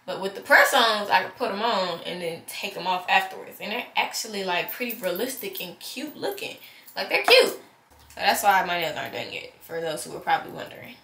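A teenage girl, talking through a stuffy, blocked-up nose as she vlogs her day. She chats candidly to her viewers and drifts into rambling asides in a middle-pitched voice. A faint hiss of noise sits behind her. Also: very animated, brisk, pushed and bright. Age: teens. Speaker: vlogger. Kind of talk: casual vlog chat.

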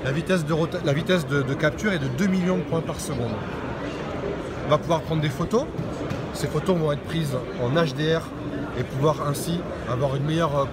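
Man demonstrating over loud hall noise. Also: a little high, moderate pace. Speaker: product presenter at a trade show stand. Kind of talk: unscripted product demonstration. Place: busy exhibition hall, indoors. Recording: loud trade-show hall noise behind. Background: loud ambient hall noise.

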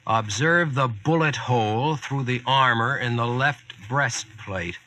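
A man's voice: mid-range voice, takes it slowly, bright, forceful tone. An actor playing a plain-talking young American ex-serviceman, colloquial and wry, in 1940s radio style. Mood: wry, cocky.

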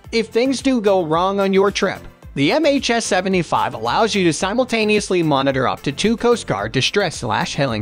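A man with a high-set voice delivers a scripted product review voice-over. His intonation moves in animated rises and falls as he reads through features in a promotional, list-style way. Faint music plays behind him.

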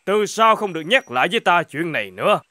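A man voicing a greedy, scheming middle-aged feudal lord in a cartoon, lively and given to shouting. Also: high voice, quick pace, dry recording, exaggerated voice. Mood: scornful. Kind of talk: cartoon dubbing. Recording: music playing under the voice.

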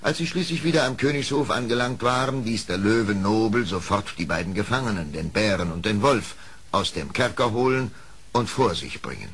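A man narrating an old audio play as a storyteller, measured and expressive, in dramatic scripted style. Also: fairly low pitch, slightly rough. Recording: faintly hissy old audio play record.

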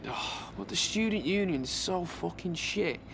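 Sarcastic young man, slightly high, dry; game dialogue. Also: expressive, a little slow, creaky, fairly bright tone, a little hiss or hum, full character voice. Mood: grumbling. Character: young British man, grumbling friend.

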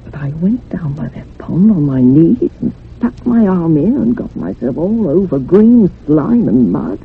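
An actress playing an older, sorrowful village housekeeper in a radio drama, confiding in rustic, old-fashioned turns of phrase. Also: female voice, fairly low pitch, very animated, a little slow, vocal fry, heavily acted. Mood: anguished, confiding. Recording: muffled phone-like radio recording with hum.

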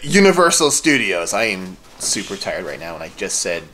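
A man's voice: low voice, big pitch swings, normal speed, over loud music. A father filming a casual family vlog in a hotel room, talking in a playful, joking way.

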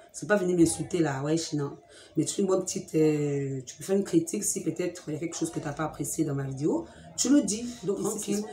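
A woman's voice: fairly low pitch, roomy sound, a little hiss or hum. Chatty young woman with a cold. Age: young adult. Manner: lively, talks straight to viewers, teasing. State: has a cold. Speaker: beauty vlogger. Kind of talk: chatty direct-to-camera vlog. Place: room at home with a fridge and sofa.

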